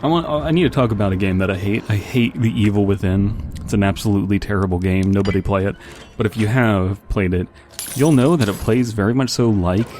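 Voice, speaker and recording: A man's voice: fairly low pitch, a little fast, darkish tone, slight background noise. A man giving casual, rambling commentary as he plays a video game, with wry joking asides. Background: game music and gunfire.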